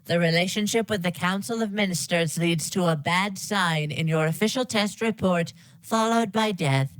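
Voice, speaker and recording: Deadpan, low-pitched female computer announcer, played by a woman. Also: moderate pace, no echo, close to an everyday voice, poor audio. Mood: formal, deadpan. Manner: formal, deadpan announcement delivery. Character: polite, deadpan female computer test announcer speaking garbled instructions. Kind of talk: scripted game parody announcements.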